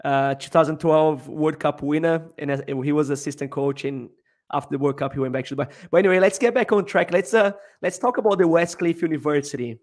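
A man's voice: fairly high pitch, fairly quick, darkish tone. A man in his thirties hosting a futsal podcast, asking questions eagerly over a headset on a video call. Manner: enthusiastic questioner, some restarts. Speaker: podcast host.

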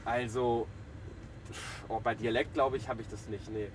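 A man's voice: mid-range voice, steady tone, normal speed. A man hosting a livestream from behind the wheel, chatting casually and teasing his guests. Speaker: host driving guests in a livestream. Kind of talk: casual joking chat. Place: inside a van driving through a city. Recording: noisy moving vehicle, road noise.

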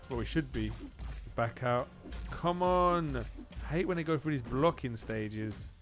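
A man giving excited video game commentary, repeating phrases and exclaiming in informal slang. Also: mid-range voice. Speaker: gaming channel host. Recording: music behind the voice.

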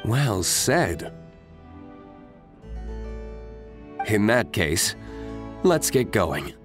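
A man playing a reserved, brooding young nobleman in a video game, calm, formal and measured. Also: on the low side, full character voice. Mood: serious, resolute. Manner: composed, formal, measured delivery. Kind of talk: video game character dialogue. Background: background music.

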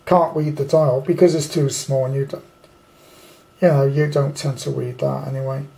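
A man's voice: mid-range voice, fairly even intonation, normal speed, gravelly, mellow, low-quality recording. A British man giving a casual, off-the-cuff hobbyist review in a rambling, blunt, colloquial way. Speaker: amateur radio hobbyist reviewing a kit. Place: indoors at a tabletop.

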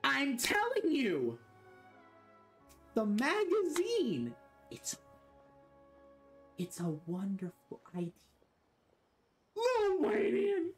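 A young man from Florida streaming a video game from his bedroom, joking, swearing and reacting loudly. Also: very high-pitched, huge pitch swings, very slow, long pauses, faint music behind. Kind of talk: casual game-stream commentary. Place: small bedroom indoors. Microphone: desk mic, wearing a headset.

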